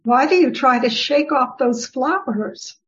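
A woman reading the part of a serene, wise goddess who gently teases, in a formal scripture reading with deliberate delivery. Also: sits high, expressive. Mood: gently teasing. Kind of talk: dramatic reading of Buddhist scripture. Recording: dull, lo-fi recording.

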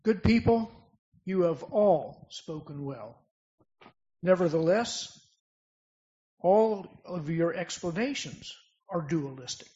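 A man's voice: a little high, animated, slow pace, pauses often, warm tone. A man playing a wise, commanding bodhisattva in an amateur dramatic scripture reading, solemn and declamatory. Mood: solemn, emphatic. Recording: dull lo-fi recording.

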